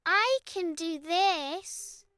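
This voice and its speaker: A woman voicing a sweet, earnest young girl in a gentle children's bedtime story. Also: extremely high pitch, very slow, dry recording, clean studio sound, voice pushed up, exaggerated voice. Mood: reassuring, determined.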